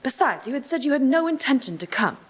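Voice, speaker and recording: A woman's voice: high-pitched, big pitch swings, quick pace. A woman playing a polite, puzzled young nephew and new father in a live radio play, speaking hesitantly. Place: live community theatre stage.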